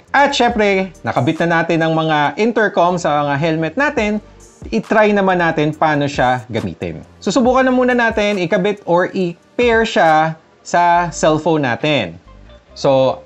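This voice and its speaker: A Filipino woman vlogger narrating a casual step-by-step tutorial. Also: deep voice. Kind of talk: tutorial narration mixing casual and instructional talk.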